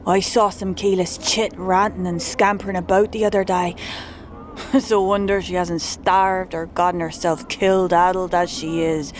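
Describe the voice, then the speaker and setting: A woman's voice: lowish voice, little pitch movement, moderate pace, a little hiss or hum, close to an everyday voice, low-quality recording. A woman playing a knowing, gossipy slum dweller who talks in street slang in a fantasy audio drama.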